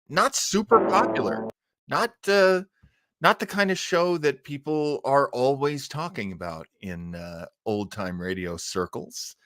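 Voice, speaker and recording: A man's voice: on the high side, pushed and bright, no echo, studio quality. A man hosting a casual livestream, rambling enthusiastically as he answers viewer comments. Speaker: host of an old-time radio listening show.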